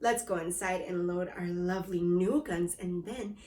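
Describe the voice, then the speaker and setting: A woman's voice: fairly low pitch, moderate pace, darkish tone, echoey room, a little hiss or hum, pitched below the performer's own voice, lightly acted. A woman reading the part of a nasty old husband who is gun-happy and spiteful, in a grumbling, scornful manner. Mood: scheming.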